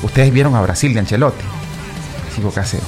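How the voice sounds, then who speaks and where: A man's voice: lowish voice, brisk, music in the background. A man giving his football opinions to his regular listeners, rambling with restarts and fillers, calling them "chicos". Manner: rambling, repeats himself, many fillers and restarts. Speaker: football commentator. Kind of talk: casual opinion talk.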